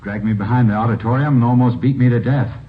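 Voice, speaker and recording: Male actor as blustering cheating husband, American, rapid, emphatic, 1950s radio play, muffled recording with faint hiss. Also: medium pitch, close to an everyday voice. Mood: indignant. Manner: emphatic, defensive. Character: blustering, lying husband caught out, short stocky businessman. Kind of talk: 1950s radio drama acting.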